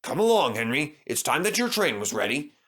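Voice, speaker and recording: A man's voice: mid-range voice, very animated, a little fast, fairly bright tone. A man voicing a pompous, stern but fatherly railway boss with a formal, authoritative manner in a children's story.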